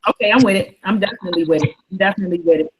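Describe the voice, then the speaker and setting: A woman's voice: medium pitch, very fast, heavy background noise. Rambling woman hosting a book club. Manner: rambling, repeats herself. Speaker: host. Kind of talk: casual book club chat.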